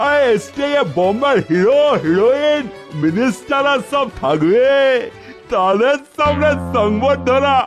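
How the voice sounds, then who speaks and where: Guy doing pushy flattering club organiser, really high voice, swoops around, comedy cartoon, wheedles for cash. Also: a little slow, soft background music. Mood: wheedling, boastful. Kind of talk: exaggerated comedy cartoon voice acting.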